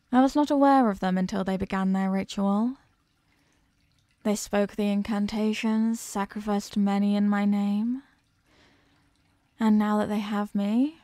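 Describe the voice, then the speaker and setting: Woman voicing a slow, dark-toned, haughty eldritch goddess. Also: medium pitch, vocal fry, no room sound. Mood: indignant, scornful. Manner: imperious, condescending, deliberate. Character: ancient captive eldritch goddess, haughty, menacing, hungry destroyer. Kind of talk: slow horror audio roleplay monologue, ASMR.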